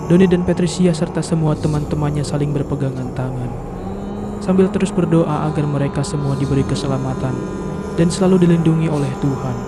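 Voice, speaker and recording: A man's voice: fairly high pitch, steady tone, brisk. A man narrating a scripted horror story in a dramatic, solemn storytelling manner. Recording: noisy background ambience.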